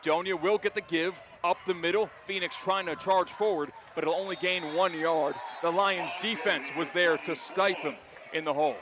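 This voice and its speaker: An American man doing live play-by-play radio commentary of high school football, excitable and ready to shout the big calls. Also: normal speed. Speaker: play-by-play announcer.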